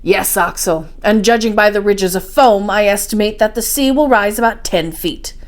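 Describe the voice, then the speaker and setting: A woman's voice: medium pitch, normal speed, close to an everyday voice. A woman voicing a learned, confident older professor uncle in a story, speaking in an assured, didactic way.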